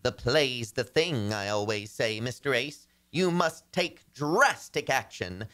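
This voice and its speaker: A man's voice: medium pitch, expressive, takes it slowly, a bit bright. An actor playing a flustered, henpecked married businessman in a radio comedy, pleading in a melodramatic, agitated way. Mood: urgent pleading. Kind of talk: old-time radio comedy acting.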